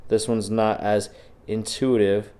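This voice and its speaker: Deep-voiced, congested man teaching. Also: flat delivery, slow pace, warm tone. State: sick, congested and sniffly. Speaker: physics teacher. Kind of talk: informal video lecture walking through practice problems.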